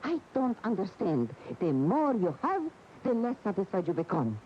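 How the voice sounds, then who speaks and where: A woman acting in a radio drama as a proud, exasperated lover of a famous bullfighter, emotive and reproachful, with deliberate pauses. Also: mid-range voice, sing-song, close to an everyday voice. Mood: pleading reproach. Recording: muffled, hissy radio broadcast tape.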